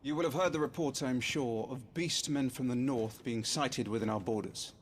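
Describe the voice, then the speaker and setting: An actor playing a stern, resolute military commander, formal and brisk, in a fantasy game. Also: male voice, medium pitch, slight background noise, close to an everyday voice. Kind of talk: dramatic fantasy game acting.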